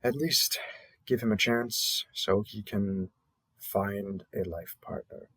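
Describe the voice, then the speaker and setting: A man acts out a cheerful matchmaking host for a monster dating app in an audio roleplay. His voice is fairly low and husky. He takes his time, stretching key words and pausing theatrically as he presents each match. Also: fairly bright tone, no room sound. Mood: wry, diplomatic. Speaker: matchmaking announcer. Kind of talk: scripted roleplay audio narration.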